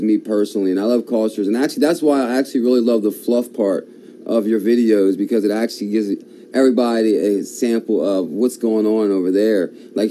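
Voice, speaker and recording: A man's voice: mid-range voice, dark tone, rough recording. A man hosting an interview, reacting enthusiastically with frequent exclamations of wow. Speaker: host. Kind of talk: conversational interview.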